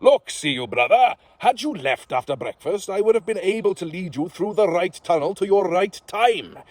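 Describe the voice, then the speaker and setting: A man voicing a sly, genial male underworld fairy lord, slow and knowing. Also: high-pitched, animated, dry recording, pitched above the performer's own voice.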